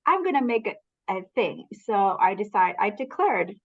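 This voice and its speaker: A woman's voice: medium pitch, sing-song, normal speed, muffled recording. A middle-aged woman from Canada, a business coach telling her story in a candid, earnest, motivational way during a casual interview.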